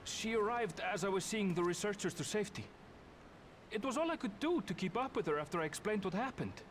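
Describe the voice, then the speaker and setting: A man's voice: sits high, lively intonation, fairly quick, soft background music. An actor voicing an earnest young man explaining events in a video game.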